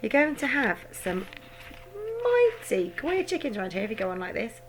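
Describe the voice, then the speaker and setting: A woman's voice: on the high side, huge pitch swings, moderate pace, background noise. A woman voicing a fretful, doubting mother who nags and frets. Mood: doubtful, fretful. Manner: fretful, nagging.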